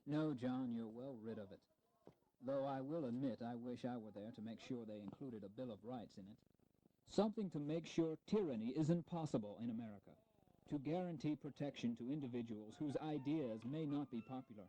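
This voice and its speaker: An actor playing an urbane, intellectual American diplomat in a historical audio drama, articulate, measured and gently teasing. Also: male voice, mid-range voice, close to an everyday voice, poor audio. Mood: reflective.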